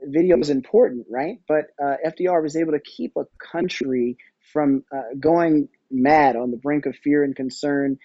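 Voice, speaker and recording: A man giving conversational interview answers as a podcast guest, discursive and halting as he thinks aloud. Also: on the high side, no echo, dull audio. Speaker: podcast guest, audio storytelling company executive.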